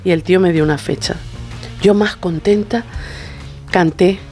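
Effusive middle-aged woman, low voice. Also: normal speed, mellow, faint music behind. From Venezuela. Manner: effusive, acts out quoted dialogue in anecdotes. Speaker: guest, singer and vocal coach. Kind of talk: animated conversational storytelling.

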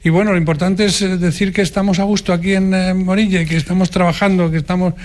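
A man's voice: sits high, level tone, quick pace. A man with a Spanish accent from Spain, co-hosting an informal chatty interview. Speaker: interviewer, co-host.

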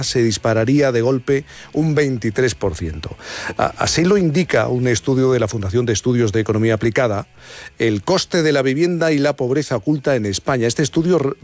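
A man's voice: medium pitch, darkish tone. A man hosting a talk radio show, explaining a study in a conversational way with small restarts. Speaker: radio host.

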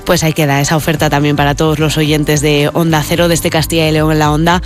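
A man co-hosting a regional radio magazine, smoothly reading scripted news links and interviewing guests. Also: fairly high pitch, level tone, fast talker, faint music behind. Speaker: radio co-host. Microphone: studio microphone.

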